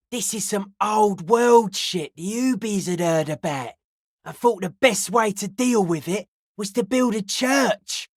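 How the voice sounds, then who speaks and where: An earnest young hardcore raver who talks in slangy, cryptic pronouncements as a self-styled psychic. The delivery is matter-of-fact game dialogue at a middling pitch, recorded close and dry. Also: female voice, no echo. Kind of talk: video game character dialogue.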